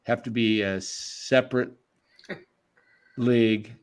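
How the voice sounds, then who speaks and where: A man hosting a live sports stream, his voice sitting at an ordinary middle pitch. He chats loosely with viewers and co-hosts, reading their messages aloud and laughing often. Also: steady tone, slow, smooth. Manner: chatty, reads chat aloud, laughs easily.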